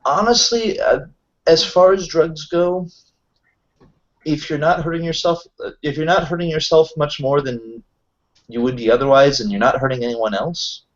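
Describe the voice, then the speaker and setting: A man's voice: fairly high pitch, normal speed, highs cut off. A man playing a tabletop roleplaying game, explaining things in an informal, rambling way in casual chat.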